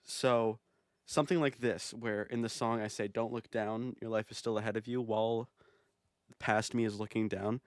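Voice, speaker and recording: A man's voice: fairly low pitch, fairly even intonation, normal speed, no echo, studio quality. A man casually commenting on his own music video, rambling and self-amused with plenty of fillers. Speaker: video creator.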